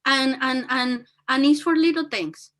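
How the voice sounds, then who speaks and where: Woman, very high-pitched voice, bright and forceful, earnest, halting speech. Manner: earnest, halting with fillers and restarts. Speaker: guest speaker and teacher. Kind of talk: motivational talk.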